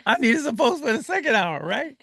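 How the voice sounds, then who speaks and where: Male radio host, high and bright, casual and slangy, prone to laughing. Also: sing-song, a little fast, creaky, husky, no room sound, clean studio sound. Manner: laughs often, repeats words, street slang.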